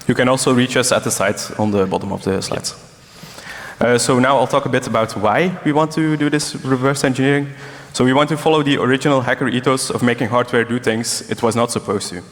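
A man's voice: mid-range voice, brisk. A man from Belgium gives a technical conference presentation as one of the speakers. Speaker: conference speaker, embedded and security developer. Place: large conference hall. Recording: echoey hall with long reverb.

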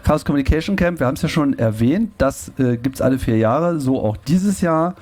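A man with a slightly husky, fairly high voice chats as a podcast host in front of a live audience. His pitch swings widely, and he jokes and laughs his way through the talk. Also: normal speed. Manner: joking, laughs often, lively delivery. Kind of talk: casual chat on stage before a live audience.

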